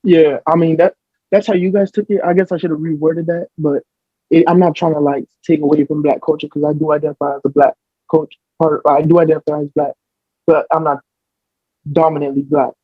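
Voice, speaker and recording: A man's voice: a little high, little pitch movement, a little fast, no room sound, phone-quality sound. A young American man in casual podcast talk, explaining at length with many restarts and fillers. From United States. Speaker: podcast guest. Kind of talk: casual chat.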